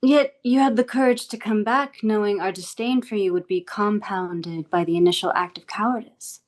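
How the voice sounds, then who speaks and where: A woman's voice: mid-range voice, lightly acted. A woman playing an eerily composed, menacing interrogator. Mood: coolly probing. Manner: deliberate, formal, probing. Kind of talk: dramatic script table read. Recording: music playing behind the voice.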